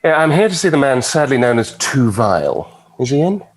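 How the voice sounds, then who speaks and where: A suave British devil in a table read, arrogant and drily witty. The voice sits at a middle pitch, urbane and sardonic. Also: male voice, big pitch swings, normal speed. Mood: breezy. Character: suave, arrogant male devil with dry wit.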